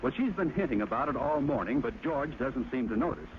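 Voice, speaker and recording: A man's voice: medium pitch, lively intonation, brisk, slight background noise. A man announcing a comedy in old-time radio style, with a lo-fi sound. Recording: dull lo-fi sound, loud music.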